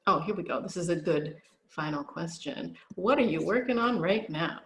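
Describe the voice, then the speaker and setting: A woman's voice: lowish voice, animated, normal speed, a bit bright, echoey room. Woman casually moderating an online panel. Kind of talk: casual conversational hosting. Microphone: video call.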